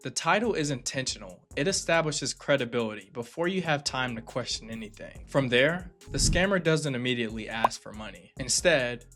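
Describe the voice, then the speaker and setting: A man's voice: medium pitch, lively intonation, a little fast, few pauses. Man narrating an explainer, music underneath. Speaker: video narrator and host. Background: background music.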